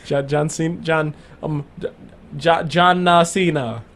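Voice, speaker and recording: Young man, high voice, playful, rambling, radio co-host banter. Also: steady tone, measured pace, fairly bright tone. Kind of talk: casual banter.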